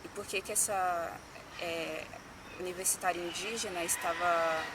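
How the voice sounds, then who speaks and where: A young Brazilian woman giving an earnest interview testimony, in short phrases with many pauses for agreement. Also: on the low side, steady tone. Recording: outdoors from a distance, loud traffic noise.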